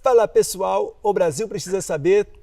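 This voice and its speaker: A man's voice: high voice, normal speed, creaky, mellow, no room sound, clean studio sound. A man hosting an interview show, asking questions with friendly, joking interjections. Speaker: host.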